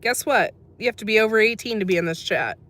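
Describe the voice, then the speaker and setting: A woman's voice: medium pitch, animated, quick pace, fairly bright tone. A woman from America hosting a casual livestream, chatting about herbal remedies and answering live chat messages with frequent laughs. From USA. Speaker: livestream host.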